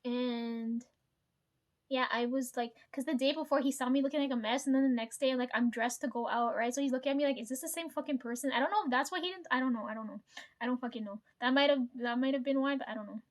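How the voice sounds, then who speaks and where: High-pitched woman rambling to her viewers, cussing and laughing, keeps asking "right?". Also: quick pace. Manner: chatty, swears freely, laughs often, frequent "right?". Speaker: vlogger. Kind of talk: casual storytelling to camera.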